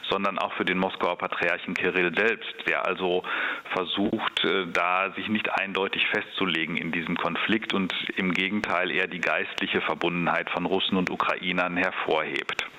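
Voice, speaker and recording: A man, an expert on Orthodox churches, answering radio interview questions in a measured, hesitant way with many fillers. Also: low voice, level tone, sharp tone, poor audio.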